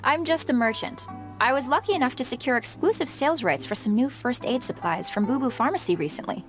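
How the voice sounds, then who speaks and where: High-voiced polite merchant woman played by an actress. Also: animated, a little fast, soft background music, lightly acted. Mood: polite, businesslike. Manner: courteous, formal, composed. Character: polite, businesslike female travelling merchant. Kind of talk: English video game dub acting.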